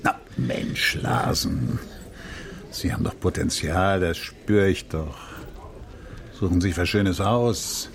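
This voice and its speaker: A man's voice: sits low, animated, slow, vocal fry, full character voice. An actor playing a patronising newspaper publisher in a radio play, chummy and pompous, drawing out his words. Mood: coaxing.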